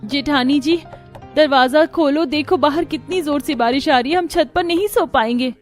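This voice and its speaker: A woman acts out a young new bride in a Hindi cartoon story, her voice high and earnest. She is meek, polite and pleading, and music plays behind her. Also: normal speed, natural voice. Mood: anxious, pleading.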